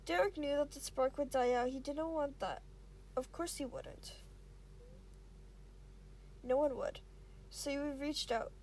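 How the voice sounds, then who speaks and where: A woman with a high, soft-edged mellow voice narrates a story aloud. Her reading is expressive but halting, with small stumbles and self-corrections. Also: slight background noise. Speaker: amateur fan fiction reader.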